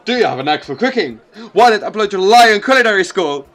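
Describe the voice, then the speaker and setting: A man's voice: high-pitched, sing-song, quick pace, a bit bright, pitched above the performer's own voice. A man voicing a chirpy, over-eager recruitment advertiser with an exaggerated salesy manner. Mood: peppy.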